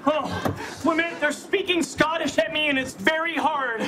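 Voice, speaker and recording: An actor playing a panicked, bewildered American brand staffer forced to fly a plane in a comedy sketch. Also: male voice, very high voice, steady tone, normal speed. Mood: confused. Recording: noisy TV sketch with studio audience.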